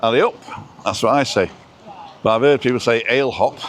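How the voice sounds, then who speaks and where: A man's voice: medium pitch, a little fast. Chatty guy streaming on his phone outside, reading out comments, bit of faint noise. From Wales. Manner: chatty, reads viewer comments aloud. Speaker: live-stream host. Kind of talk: casual chat while walking. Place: outdoors on a seafront walk.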